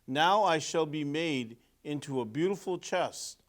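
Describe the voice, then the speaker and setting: A man's voice: a little high, animated, a little slow. A man voicing an ambitious young male tree in a storybook, proud and earnest as he declares grand dreams. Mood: joyful anticipation.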